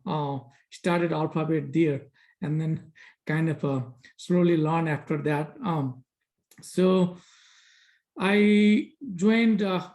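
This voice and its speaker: A man's voice: on the high side, a little mellow. A man in his thirties from Nepal, a process engineer, introducing himself on a video call with frequent hesitations and fillers. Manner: halting, many "uh" fillers. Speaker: process engineer on a career panel. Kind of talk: casual spoken introduction over video call.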